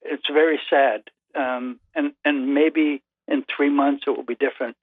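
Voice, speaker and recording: An older man calling in by phone as the host's father, talking slowly and haltingly in a dry, reserved way. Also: medium pitch, steady tone, no room sound. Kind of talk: casual phone chat. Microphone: phone call. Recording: tinny phone line.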